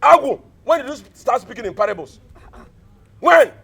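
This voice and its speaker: A male actor playing a callous, scheming village elder with a Nigerian accent, scolding and repeating himself in loud, theatrical film style. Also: very high-pitched, sing-song, moderate pace, vocal fry, slightly rough, full character voice. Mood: scornful anger. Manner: blustering, repetitive, scolding.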